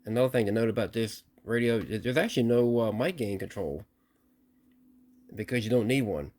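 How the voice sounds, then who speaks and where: A man giving a casual hands-on demonstration and explanation in a halting, repetitive, explanatory way, like a repair hobbyist presenting a video. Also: fairly low pitch, a little mellow.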